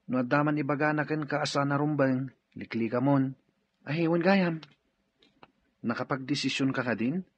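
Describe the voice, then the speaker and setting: A man's voice: medium pitch, normal speed, pauses often, breathy edge, lo-fi sound, close to an everyday voice. A man with an Ilocano Filipino accent acting a protective, advising older brother in an audio drama. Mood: brotherly, teasing.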